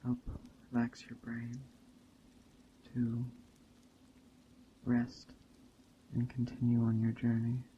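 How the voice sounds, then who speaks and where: Very dark, hushed, slow ASMR voice, male. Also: mid-range voice, monotone, dull audio, slight background noise. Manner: hushed, unhurried, with long pauses. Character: gentle, hospitable witch by a campfire. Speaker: ASMR roleplay host. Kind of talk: soft-spoken ASMR roleplay narration. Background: rain and forest ambience.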